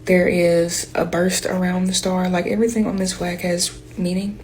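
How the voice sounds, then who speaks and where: A woman from the United States explains things in a casual way. Her voice is slightly low and very even in pitch, with faint background ambience. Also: normal speed, a little hiss or hum, rough recording.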